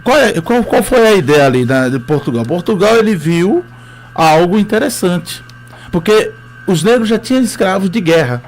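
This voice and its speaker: A man's voice: high voice, lively intonation, moderate pace. A man with a Northeastern Brazilian accent, a history teacher telling his story as a radio interview guest, hesitant with many fillers.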